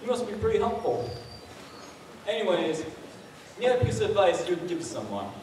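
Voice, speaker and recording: Teenage boy as wisecracking male game show host, high voice, American, stage comedy, distant noisy hall recording. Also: very animated, darkish tone. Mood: smooth hosting. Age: teens. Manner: showy, drawn-out announcing. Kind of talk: live stage comedy skit. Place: school auditorium stage. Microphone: handheld mic through PA, far camera. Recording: echoey noisy auditorium from far off.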